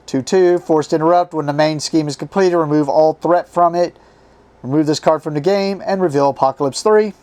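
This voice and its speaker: A man hosting a casual card game unboxing, his voice strained and tiring as he reads card names aloud in short halting bursts. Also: a little high, darkish tone. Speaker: board game channel host.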